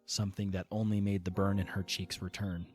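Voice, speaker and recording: A man narrating a fan audio drama in a calm, steady, scripted reading. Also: low voice, fairly quick, soft background music.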